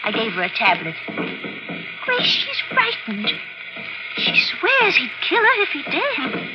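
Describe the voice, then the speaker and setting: An anxious, proper lady in an old radio mystery. Her voice is high and swoops a lot, emphatic and easily indignant. The broadcast is dull, with music clearly behind it. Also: huge pitch swings, takes it slowly, full character voice. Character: excitable, indignant lady, old family friend.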